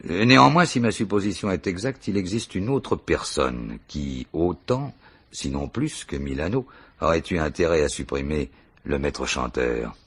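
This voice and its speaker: An actor playing a dogged, unflappable police commissioner in a radio mystery, dry and gently ironic in his delivery. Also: rumbling low, animated, normal speed, slightly rough, full character voice. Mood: firm, probing. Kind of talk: French radio mystery play acting.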